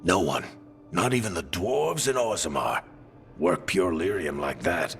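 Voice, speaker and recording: A weathered middle-aged companion from a fantasy game, telling things gravely and dramatically. The voice is very low and unhurried, swinging expressively, with music underneath. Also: male voice, big pitch swings, fairly bright tone, full character voice. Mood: urgent. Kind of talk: video game dialogue and narration.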